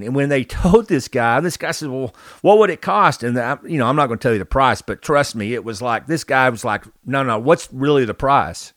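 A man's voice: mid-range voice, fairly bright tone, dry recording, clean studio sound. An American man giving an informal, emphatic opinion monologue, telling anecdotes and acting out quoted exchanges as a host and trainer. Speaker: host, law enforcement leadership trainer.

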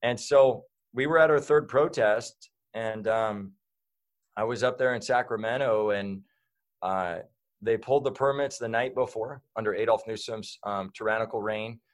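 A man with a somewhat low voice tells his story in a loose, rambling way. He fills gaps with "um" and "you know" and often restarts his sentences. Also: normal speed. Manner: rambling, many fillers and restarts. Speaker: guest. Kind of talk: casual interview talk.

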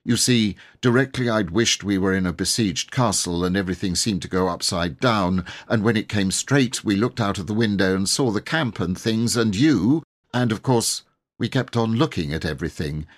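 A man voicing an earnest, excited schoolboy adventurer in an old storybook, speaking eagerly and emphatically in old-fashioned slang. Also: on the low side, moderate pace, lightly acted. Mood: eager, explaining.

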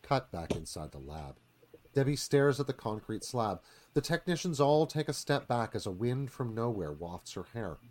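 A man's voice: on the low side, darkish tone. A man reading screenplay narration dramatically for a live radio theatre performance.

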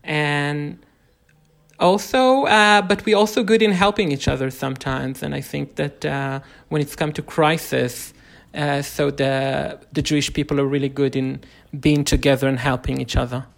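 An Israeli man speaks English with the accent of a native Hebrew speaker. As a podcast guest he explains things informally, in halting, hesitant phrases. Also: fairly high pitch, steady tone, gravelly.

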